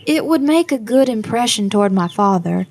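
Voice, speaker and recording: A woman playing a level-headed, guarded young adult daughter in an audio drama, measured and dry in manner. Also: on the high side, expressive, a little mellow, slightly rough. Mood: dry, firm.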